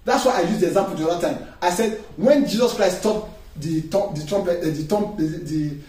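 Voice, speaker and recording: High-voiced preaching man, echoey hall. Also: a little fast, darkish tone. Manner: emphatic preaching with call-and-response questions. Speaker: pastor. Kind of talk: sermon. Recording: echoey room, distant mic, faint noise.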